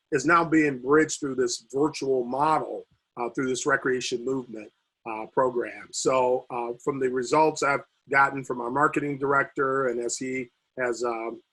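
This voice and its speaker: A man speaking on a video call panel, losing his voice and talking haltingly with many fillers and restarts. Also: mid-range voice, a bit bright, slightly rough, poor audio. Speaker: university recreation administrator.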